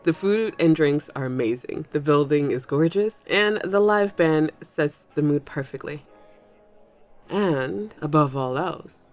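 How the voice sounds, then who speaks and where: A woman voicing a flirtatious thunder fae in an intimate audio roleplay, teasing and coy as she addresses the listener. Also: low voice. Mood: playful, flirty. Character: flirtatious thunder fae at a New Year's party, smooth charmer. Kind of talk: ASMR audio roleplay, intimate one-sided dialogue.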